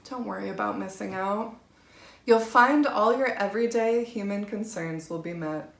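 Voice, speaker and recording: A woman's voice: mid-range voice, creaky. A woman reading a modern-language scripture passage aloud as a scripted recitation. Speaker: scripture reader. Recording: roomy, echoing space.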